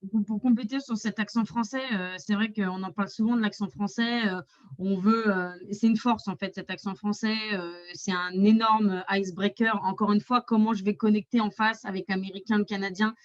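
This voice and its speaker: A woman from France talks through advice on a webinar panel, pausing often on fillers. The sound is dry and a little dull, as over a lo-fi connection. Her voice sits at an average pitch. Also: steady tone, no echo. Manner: hesitant, many fillers. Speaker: export advisor.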